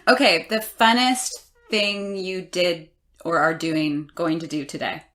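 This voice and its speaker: Woman, somewhat low pitch, podcast co-host, casual conversational interviewing. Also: steady tone, unhurried, fairly bright tone.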